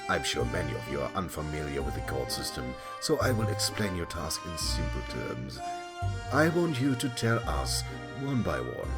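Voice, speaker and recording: Man acting haughty, deep-voiced rat prosecutor. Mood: formal, commanding. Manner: formal, haughty, threatening. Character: stern, sly male rat prosecutor in an afterlife court. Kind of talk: audio drama acting. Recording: loud music under the voice.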